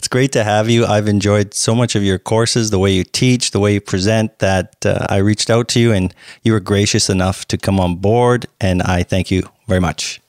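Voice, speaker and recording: A man's voice: fairly low pitch, fairly even intonation, normal speed, hardly any pauses, no room sound, studio quality. A man hosting a podcast, explaining topics in an upbeat, promotional way and introducing his guest. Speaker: podcast host. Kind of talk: podcast monologue and interview.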